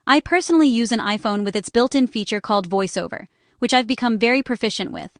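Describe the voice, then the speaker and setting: A woman's voice: fairly high pitch, quick pace, dry recording, clean studio sound. A woman reading a scripted explainer narration in short, instructional phrases. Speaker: narrator and small business owner explaining accessibility.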